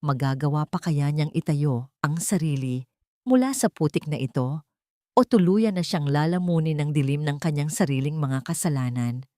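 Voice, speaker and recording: A woman's voice: low-pitched, a little mellow, no room sound, clean studio sound. A woman with a Filipino accent narrating a scripted dramatic story. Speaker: narrator of audio love stories.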